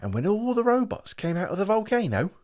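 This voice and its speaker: Brief: gushing schoolboy, very high and bouncy, a man putting him on. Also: wildly swooping pitch, brisk, darkish tone. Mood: excited. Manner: gushing, breathless boasting. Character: excitable chattering schoolboy brother.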